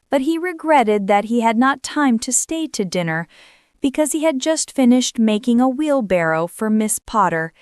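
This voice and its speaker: A woman's voice: on the high side, dry recording. Woman reading a storybook, close dry sound. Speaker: storyteller reading a children's book aloud. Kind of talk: scripted storybook narration, bedtime read-aloud.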